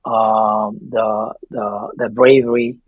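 A man's voice: lowish voice, level tone, takes it slowly, slightly rough, no room sound, phone-quality sound. A man with a Somali accent, a native Somali speaker, talking reflectively as an interview guest, earnest and emphatic, often repeating his phrases. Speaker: interview guest, memoir author.

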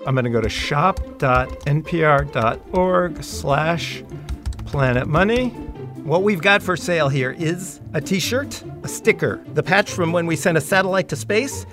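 A man's voice: on the high side, a little slow. Laid-back man reading a merch promo over music. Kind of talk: scripted promo read.

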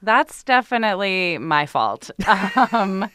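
A woman's voice: medium pitch, very animated, slow pace, sharp tone, smooth, no room sound, studio quality. A woman comedian chatting casually as an interview guest, candid and self-deprecating. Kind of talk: casual chat.

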